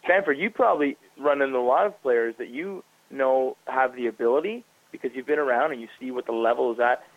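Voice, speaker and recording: A man's voice: mid-range voice, brisk, no room sound. A man hosting a casual radio chat, rambling through long thoughts with many fillers and restarts. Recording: dry, tinny narrow-band sound.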